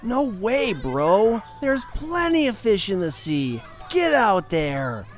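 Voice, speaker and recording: A man's voice: high voice, wildly swooping pitch, slow, exaggerated voice. An actor playing a breezy, tactless male friend with a gossipy, bro-ish manner in a horror comic dub.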